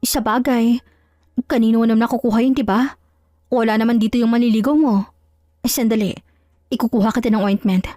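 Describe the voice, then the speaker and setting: A coolly composed, jealous wife from an audio drama, voiced by a woman storyteller. She is sarcastic and feigns innocence in a fairly high voice. The sound is close and dry. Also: steady tone, a little fast, long pauses, mellow, no room sound, faint music behind, lightly acted.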